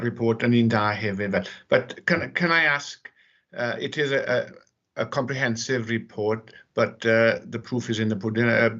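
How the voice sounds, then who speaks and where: A councillor asks questions in a halting way, restarting and repeating his words. His voice is mid-pitched, and the recording sounds a little dull. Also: a bit bright. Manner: halting, repeats words, switches Welsh and English. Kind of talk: formal meeting question.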